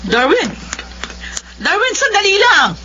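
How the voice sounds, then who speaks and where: A woman's voice: very high-pitched, big pitch swings, slow pace, full character voice. An actress playing a middle-aged Filipino mother, lovestruck and defensive, in a radio drama. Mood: pleading, upset. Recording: dull lo-fi audio, clear hum.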